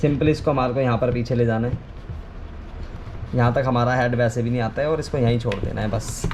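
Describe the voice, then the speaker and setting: Casting: male how-to vlogger, average pitch, informal and explanatory, with some background noise. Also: steady tone, fairly quick. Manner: informal, explanatory, repeats himself. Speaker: video creator giving a how-to. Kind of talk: casual hands-on tutorial chat. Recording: clearly noisy ambient background.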